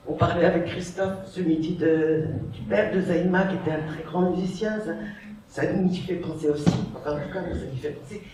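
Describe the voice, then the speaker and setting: An audience member politely asking a halting question from the floor. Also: mid-range voice, steady tone, a little mellow. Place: large echoey library hall. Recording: echoey hall from far off, noisy.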